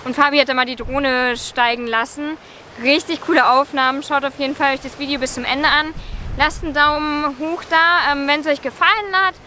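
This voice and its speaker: A woman's voice: high-pitched, fairly even intonation, fairly quick, sharp tone, slight background noise. A young woman chatting casually to the camera in a travel vlog, with frequent ums, recorded at arm's length outdoors and in a van. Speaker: vlogger. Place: outdoors by a beach, later inside a van.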